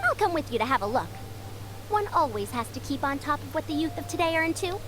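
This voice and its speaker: A woman's voice: high-pitched, big pitch swings, fast talker, noisy background. A woman playing a small, proud, old-fashioned female scholar with a self-assured, matronly way of talking in an anime game.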